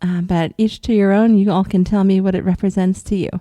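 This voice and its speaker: A woman from upstate New York with a cold, casually telling viewers about an experience in a rambling way, with ums and soft laughs. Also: mid-range voice, fairly even intonation, fairly quick, dry recording. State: has a cold. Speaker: vlogger recounting astral travel.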